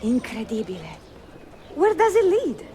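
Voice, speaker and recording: An actress playing a curious, poised Italian bookseller in a video game cutscene, with an Italian accent and a teasing, refined manner. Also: female voice, fairly high pitch, wildly swooping pitch, measured pace, warm tone, a little hiss or hum. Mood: welcoming, surprised.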